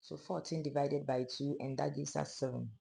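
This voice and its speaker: A sewing instructor with a hoarse, fading voice, calmly narrating a pattern-drafting tutorial step by step. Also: medium pitch, moderate pace. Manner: calm, step-by-step explaining of measurements. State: hoarse, losing voice.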